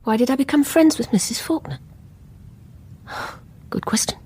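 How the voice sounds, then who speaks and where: An actress playing a guarded, troubled middle-aged English woman, telling her story haltingly and reflectively in a radio drama. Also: sits high, animated, background noise. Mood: wry, reflective. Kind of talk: first-person radio drama narration.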